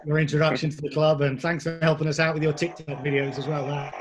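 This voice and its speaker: A man's voice: on the high side, flat delivery, quick pace, background noise. A man interviewing a new signing for his club, asking friendly, easygoing questions.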